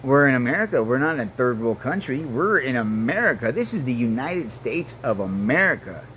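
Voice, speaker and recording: A man in his forties whose first language is Spanish speaks at a middle pitch, earnest and halting. He fills gaps with ums and restarts sentences often. A faint hum of air conditioning sits under the voice. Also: big pitch swings, fairly bright tone. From Mexico and Denver, Colorado. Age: 40s. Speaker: vlogger. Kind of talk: rambling personal monologue to camera.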